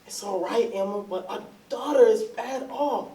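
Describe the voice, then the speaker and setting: A woman's voice: on the high side, normal speed, mellow, close to an everyday voice. A woman playing an earnest, bossy, lovelorn husband in a staged reading with Southern dialect. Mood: urgent. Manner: urgent, pleading, commanding. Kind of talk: staged readers theatre, Southern period dialect. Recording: echoey, distant stage recording.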